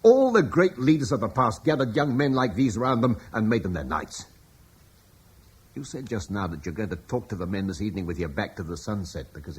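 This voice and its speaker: A man's voice: fairly low pitch, a little hiss or hum. An eloquent older Roman-born father. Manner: reflective and persuasive, eloquent. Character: thoughtful older Romano-British father, idealistic. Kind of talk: radio drama acting.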